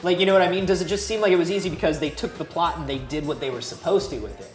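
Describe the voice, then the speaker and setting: Low voiced woman giving blunt takes on a casual chat show, kinda echoey room w music. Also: very fast. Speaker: panelist on a video game talk show. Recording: roomy sound with music behind.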